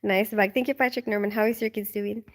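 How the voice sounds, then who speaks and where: Woman, from the Philippines, live-stream host, casual chat, reads comments aloud, ums and soft laughs, tired, sleepy, at home at night. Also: medium pitch, steady tone, fast talker.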